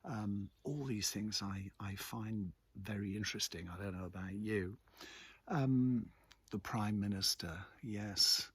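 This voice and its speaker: Low, gravelly man chatting casually. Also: sharp tone. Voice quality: husky, gravelly. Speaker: host of a local chat vlog. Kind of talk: rambling casual morning chat to followers. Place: outdoors in a churchyard.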